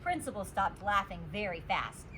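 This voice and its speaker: A woman reading a children's storybook aloud as a teacher, with expressive, deliberate storytelling. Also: mid-range voice, background noise.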